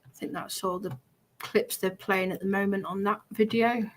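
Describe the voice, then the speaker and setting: A woman's voice: medium pitch, fairly even intonation, normal speed. A woman chatting casually as a livestream commentator. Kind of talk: casual chat.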